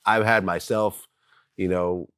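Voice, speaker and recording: A man with a low-pitched voice conducts a casual interview. His recording is dry and close, and his phrasing is plain and a little halting. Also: fairly even intonation, fairly bright tone, clean tone, no echo.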